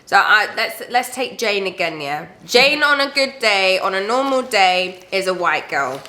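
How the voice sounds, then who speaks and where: A woman's voice: mid-range voice, lively intonation, a little slow, pushed and bright. A woman arguing her point in a group debate, emphatically and in short storytelling bursts. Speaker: panelist.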